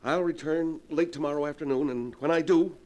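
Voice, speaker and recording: Grave, portentous doctor played by a man, raised pitch. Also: a little fast, heavy background noise, natural voice. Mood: resolute. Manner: formal, portentous. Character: grave, authoritative doctor warning of evil spirits. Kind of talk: 1940s radio horror acting.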